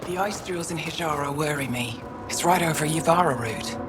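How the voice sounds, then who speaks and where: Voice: mid-range voice, moderate pace, loud music behind the voice, natural voice. An actor playing a worried, skeptical alien researcher in a video game, speaking in a sober, pointed way. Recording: loud game ambience and vehicle noise.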